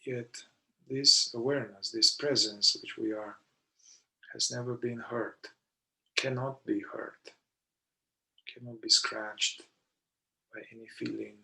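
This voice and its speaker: A man leading a guided meditation in short, deliberate phrases with long pauses between them. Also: mid-range voice, steady tone, creaky, sharp tone, poor audio. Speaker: meditation guide, somatic therapist.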